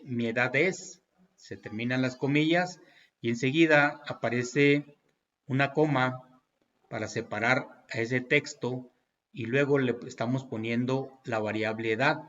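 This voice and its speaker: A man's voice: mid-range voice, unhurried, fairly bright tone, dull audio. A man teaching programming in a tutorial, walking through each step patiently with fillers and self-corrections. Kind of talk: screen-recorded tutorial walkthrough.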